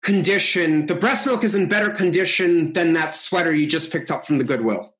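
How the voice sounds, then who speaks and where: A Russian-born comedian guest on a podcast, rambling through explanations and delivering jokes deadpan in casual banter. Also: male voice, on the high side, moderate pace.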